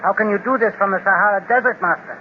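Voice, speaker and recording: A servile henchman, played by a man, answers his master in a stiff, formal, high voice. It is a 1930s radio serial. The sound is thin and phone-like, with faint hiss behind it. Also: rapid-fire, lightly acted. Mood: deferential. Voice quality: stiff, formal, deferential speech. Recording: tinny, hissy old radio transcription.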